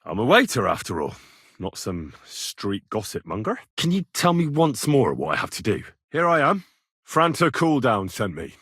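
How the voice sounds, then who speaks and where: An earnest young medieval commoner for a video game. His voice is husky and swings expressively, with a cheeky, dogged, bantering way of speaking. The recording is close and dry. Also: fairly low pitch, huge pitch swings, moderate pace, fairly bright tone, no room sound, heavily acted. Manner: colloquial, questioning, bantering. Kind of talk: video game character dialogue.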